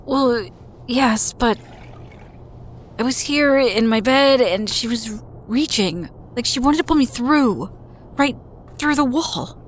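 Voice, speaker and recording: An actress playing a troubled, drowsy woman confiding haltingly in naturalistic audio drama. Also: on the high side, lively intonation, slight background noise. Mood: troubled, groggy. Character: troubled, hesitant woman confiding in a close friend.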